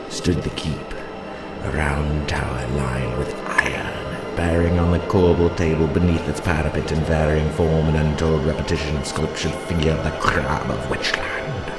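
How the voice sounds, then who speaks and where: Really deep voiced guy narrating epic fantasy slow and grave, echoey, loud moody music behind. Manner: slow, solemn, archaic literary delivery. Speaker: audiobook reader. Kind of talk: dramatic audiobook narration of old fantasy prose. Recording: roomy echo, loud moody soundscape bed. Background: loud music and atmospheric soundscape.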